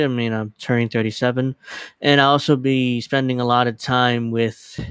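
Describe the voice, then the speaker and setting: A man's voice: mid-range voice, clear, resonant tone, no room sound. Rambling man in his thirties, dry sound. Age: 30s. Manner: rambling, self-correcting, chatty. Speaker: livestream host. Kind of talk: casual livestream chat. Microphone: desk USB microphone.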